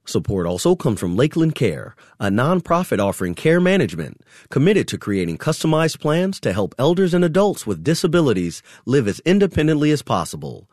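A man's voice: fairly high pitch, very animated, brisk, darkish tone, no room sound, studio quality. A man announcer reading a sponsorship message in a formal way.

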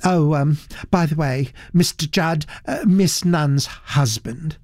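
A man's voice: on the high side, slow pace, darkish tone, dry recording, studio quality, pitched above the performer's own voice. A man voicing a languid, melancholy male theatre producer who drawls his gossip. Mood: offhand.

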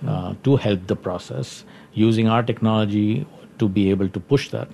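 Halting Indian-accented man in an interview. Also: fairly low pitch, creaky, dark tone, a little hiss or hum. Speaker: company executive being interviewed. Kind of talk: interview answers, informal explanation.

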